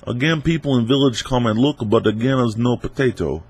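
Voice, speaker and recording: A man's voice: medium pitch, brisk, darkish tone, breathy edge, voice pushed up, close to an everyday voice. A young man with a stuffy head cold voicing a grim adult Latvian villager who tells a folk joke in broken English, with a put-on Latvian accent. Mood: deadpan grim.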